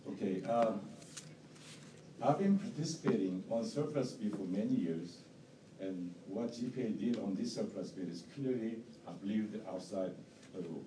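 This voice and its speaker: A man who is not a native English speaker, with a strong accent, giving a formal statement at a hearing in halting, repetitive phrases. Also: lowish voice. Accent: strong non-native. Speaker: bidder presenting an appeal at a hearing. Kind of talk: formal statement, partly read aloud. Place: indoor hearing room. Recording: roomy, distant mic, faint noise.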